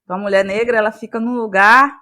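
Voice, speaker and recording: A woman talking as an interview guest, explaining her work in a thoughtful, expressive conversational way. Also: on the high side, fast. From Brazil, Bahia. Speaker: guest, playwright and actress.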